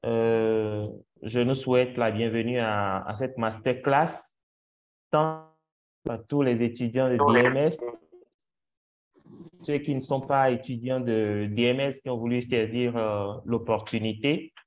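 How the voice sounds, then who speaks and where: A man's voice: medium pitch, fairly even intonation, slow pace, a little hiss or hum. A man formally welcoming students and a guest to an online masterclass, hesitant, with many fillers. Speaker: host, moderator. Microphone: video call.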